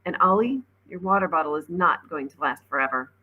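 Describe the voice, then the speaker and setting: A woman's voice: on the low side, animated, a little fast, breathy edge, narrow, tinny audio. A woman voicing a blunt, cranky schoolboy in a storybook, with a grumbling manner. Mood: frank.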